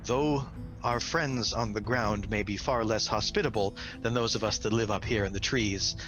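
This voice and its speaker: A man's voice: lowish voice, fairly even intonation, normal speed, music in the background, natural voice, rough recording. A man voicing a kindly, very old woman healer in a tabletop game, serene and welcoming. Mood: warm, serene. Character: ancient, radiant, kindly woman healer of the treetops.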